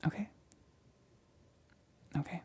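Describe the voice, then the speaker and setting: A woman playing a nervous, methodical lab assistant in a whispered ASMR roleplay, speaking haltingly in a breathy whisper. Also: warm tone, lightly acted. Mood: cautious, clinical. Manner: hesitant, halting, repeats reassurances.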